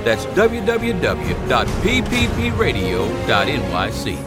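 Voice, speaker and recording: A man's voice: on the high side, huge pitch swings, unhurried. A man reading a scripted show intro in a punchy, sing-song promo style. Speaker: show announcer. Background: music bed.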